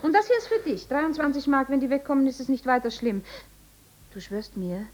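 High, lilting, teasing tavern girl. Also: expressive, a little fast, darkish tone. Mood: greedy, coaxing. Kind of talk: 1950s German radio drama acting.

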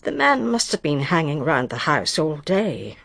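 An actress playing a frail, ailing upper-class English widow in a radio drama, halting and bitter, with a weak, breathy, croaky voice. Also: female voice, low-pitched, big pitch swings, a bit bright, dry recording, exaggerated voice. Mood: querulous. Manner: halting, drifting.

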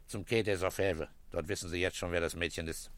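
A deep, gruff police commissioner, played by a man in a radio play. He is calm and authoritative, putting short probing questions and handing out orders tersely. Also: a little fast, background noise, lightly acted. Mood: brisk, commanding.

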